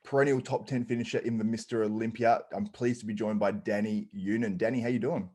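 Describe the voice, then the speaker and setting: A man's voice: on the low side, fairly even intonation, fast, darkish tone. A man hosting an interview show, asking long rambling questions full of hedges. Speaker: interview host. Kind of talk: podcast interview.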